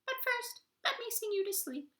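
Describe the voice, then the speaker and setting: A woman storyteller voicing a tiny, clever female tree toad in a very high, polite voice. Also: lively intonation, normal speed, bright, forceful tone, exaggerated voice.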